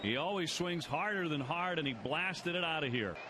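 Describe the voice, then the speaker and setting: A man comments on live baseball in a somewhat high voice. His analysis is conversational, and there is clear noise behind him on the broadcast. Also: expressive, normal speed. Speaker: baseball radio color commentator. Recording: noisy ballpark radio broadcast.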